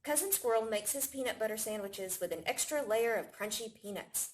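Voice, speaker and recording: Animated woman reading a children's story. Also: a little high, brisk, a little hiss or hum. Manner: expressive, storytelling to young children. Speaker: library staff storytime reader. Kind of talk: children's picture book read-aloud.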